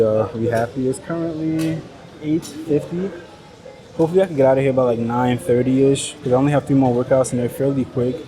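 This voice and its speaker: A man's voice: medium pitch, poor audio. A man from Nigeria with a Nigerian accent, speaking English as his second language and chatting casually to the camera mid-workout in a slangy, joking, sometimes profane way, recorded on a clip-on mic. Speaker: fitness vlogger. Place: busy indoor gym. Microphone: clip-on body mic. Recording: gym chatter behind.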